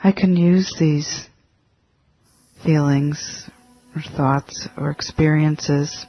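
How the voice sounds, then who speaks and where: A man leading a guided meditation in a gentle, halting manner, leaving long pauses between short phrases. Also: on the high side, steady tone. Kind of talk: guided meditation, spoken instructions. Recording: dull lo-fi audio with faint music.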